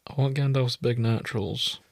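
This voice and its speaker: A man's voice: medium pitch, fairly even intonation, normal speed, vocal fry, dry recording, clean studio sound. A man co-hosting a casual podcast, chatting in a jokey, irreverent and sweary way. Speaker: podcast co-host. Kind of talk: casual banter.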